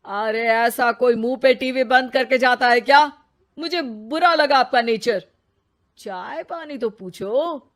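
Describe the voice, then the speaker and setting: A woman voicing a talkative, cheeky male magic television in a cartoon story, teasing the family in a slow, chatty manner. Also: on the high side. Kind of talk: Hindi cartoon voice acting.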